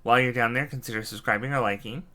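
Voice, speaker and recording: A man giving relaxed, casual commentary while playing a game, musing to himself. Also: medium pitch, fast talker. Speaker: let's-play streamer. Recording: game music behind.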